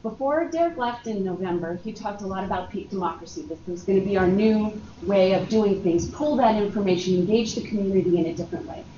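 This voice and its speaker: A woman's voice: mid-range voice, quick pace, hardly any pauses, dark tone, a little hiss or hum. Lady running a work meeting, chatty and upbeat, far from the mic, echoey dull sound. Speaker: workshop host. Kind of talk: presenting at a workplace workshop. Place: meeting room. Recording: far-off roomy lo-fi meeting recording.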